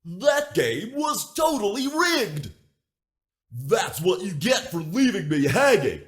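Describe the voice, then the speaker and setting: A whiny toy robot from a game, a sulky sore loser. His high voice swings wildly as he grumbles. The pacing is unhurried. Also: sing-song, a bit bright, exaggerated voice. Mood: petulant. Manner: petulant, complaining.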